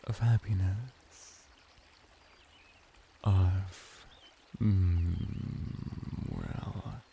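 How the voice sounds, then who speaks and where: A man's voice: deep voice, creaky, noisy background, full character voice. A man voicing a flirty, courteous merman bath attendant in an intimate, formally worded ASMR roleplay. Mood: flirty, teasing. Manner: slow, intimate, formal wording with lingering pauses.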